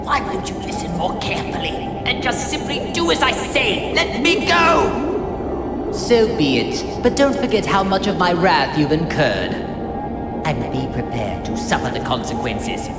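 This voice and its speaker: A woman's voice: medium pitch, very animated, measured pace, loud music behind the voice. A woman playing a haughty, wrathful being in an anime video game, speaking with imperious contempt and threat. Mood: wrathful command. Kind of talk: dubbed anime video game cutscene acting.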